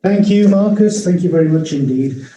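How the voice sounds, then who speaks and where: Presenter, male, Liverpool accent; dark, mellow tone, pitched a little high, measured delivery. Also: low-quality recording. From Liverpool, England. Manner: measured, storytelling, occasional restarts. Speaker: company presenter. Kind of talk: live-streamed business presentation.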